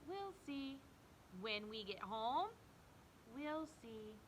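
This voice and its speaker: A woman's voice: a little high, very animated, extremely slow, background noise, heavily acted. A woman voicing an exasperated mother in a storybook, firm and emphatic as she lays down rules. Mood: weary, placating. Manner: stern, emphatic.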